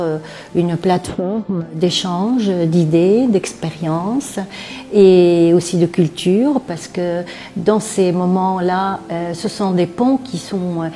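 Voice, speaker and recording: A woman's voice: on the low side, warm tone. A woman writer speaking hesitantly in an interview, searching for her words. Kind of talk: reflective interview testimony.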